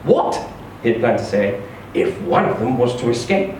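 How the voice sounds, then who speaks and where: A man reading a short story aloud in an expressive, theatrical, emphatic storytelling style. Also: mid-range voice, normal speed. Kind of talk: expressive live literary reading. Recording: echoey room, distant mic, faint noise.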